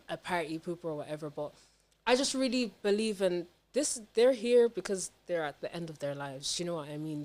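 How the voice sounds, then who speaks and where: A young woman talking casually and candidly as a podcast guest, emphatic, slangy and sweary. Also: fairly low pitch. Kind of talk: casual personal chat.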